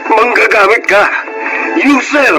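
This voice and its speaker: A caring but easily wounded young man in radio drama. His voice is a little high, emotional and pleading. Loud music runs underneath. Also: slow pace, rough recording. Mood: bitter. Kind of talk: Filipino radio drama acting.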